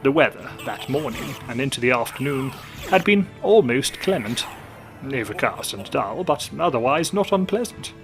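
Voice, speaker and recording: Gentleman narrator, theatrical and measured, over steady music. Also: mid-range voice, poor audio. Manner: theatrical, genteel, measured storytelling. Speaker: storyteller host. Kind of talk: scripted narration. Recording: music behind.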